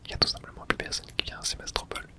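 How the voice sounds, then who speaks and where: Someone whispering throughout an ASMR let's play, narrating the game and reading its texts aloud in a hush. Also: very fast. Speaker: host playing and narrating a game.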